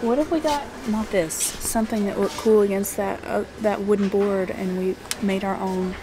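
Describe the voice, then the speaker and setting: A woman's voice: medium pitch, fairly even intonation, moderate pace, background noise. A woman chatting casually with her husband on a vlog, making brief, matter-of-fact remarks. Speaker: co-host, vlogger's wife. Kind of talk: casual chat.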